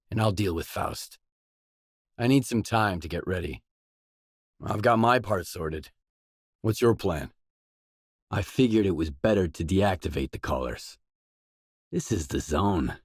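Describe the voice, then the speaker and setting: A man's voice: lowish voice, no room sound. An actor playing a guarded, determined male stalker mercenary in a video game, speaking tersely and matter-of-factly with dry delivery. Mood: wary, dry. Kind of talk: video game character dialogue lines.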